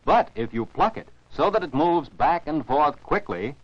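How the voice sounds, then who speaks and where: A man's voice: on the high side, animated, normal speed, no room sound. An American man narrates scripted science for children as an educational film narrator, in a didactic, carefully enunciated way. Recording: dull, dry 1949 film soundtrack.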